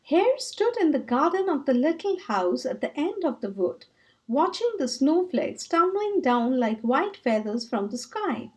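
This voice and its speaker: A woman narrates a children's storybook in a very high voice. Her delivery is slow, with lively rises and falls that suit a read-aloud for young listeners.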